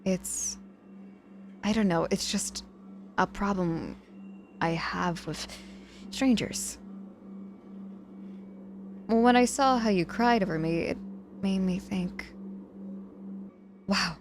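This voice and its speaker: A woman playing a cocky but softening injured witch mentor in an ASMR audio roleplay, dryly teasing, apologetic and protective with hesitant pauses. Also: mid-range voice, slight background noise, close to an everyday voice. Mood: apologetic, confiding.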